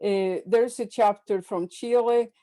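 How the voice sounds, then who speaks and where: A woman presenting book chapters at an academic webinar over a video call, halting with fillers and repeated words. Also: medium pitch, fairly even intonation, mellow.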